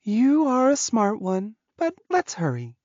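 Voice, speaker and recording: Guy doing a friendly witch lady for an audiobook, way up high, teasing and showing off, kinda muffled lo-fi sound. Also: huge pitch swings, unhurried, a little mellow, no room sound, full character voice. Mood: brisk, teasing. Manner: teasing, boastful. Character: friendly, proud, giggly female witch, sly potion maker. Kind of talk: audiobook character voice.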